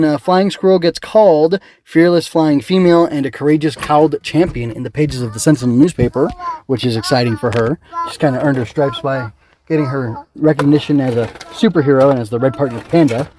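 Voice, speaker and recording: A man's voice: a little high, warm tone, a little hiss or hum. A man hosting a casual solo review podcast, rambling and thinking aloud as he works through his notes. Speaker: podcast host reviewing an audio drama.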